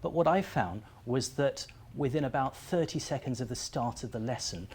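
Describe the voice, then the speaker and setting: A male teacher hosts a relaxed interview with his students, speaking in a friendly, conversational way. His pitch sits in the middle, neither low nor high. His talk is dotted with small "uh" fillers as he moves between questions. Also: normal speed. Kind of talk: school promo interview.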